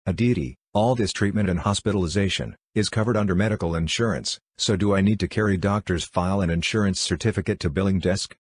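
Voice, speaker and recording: Deep, husky man acting, formal. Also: fast talker, hardly any pauses. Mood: formal, polite. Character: formal, polite man speaking over building intercom. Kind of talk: scripted demo role-play, formal.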